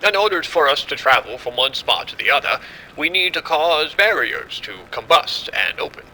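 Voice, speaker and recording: Confident male scientist played by a male actor for audio drama; measured, explanatory, very sharp and forceful, faint ambient noise. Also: fairly high pitch, animated, heavily acted, low-quality recording. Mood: assured, explanatory. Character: confident male scientist running a secret military experiment.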